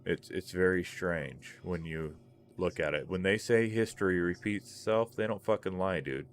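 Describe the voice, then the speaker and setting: Deep-voiced profane streamer in his forties. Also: moderate pace. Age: 40s. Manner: profane, rambling, animated rants. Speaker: streamer host. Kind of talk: casual voice chat while gaming.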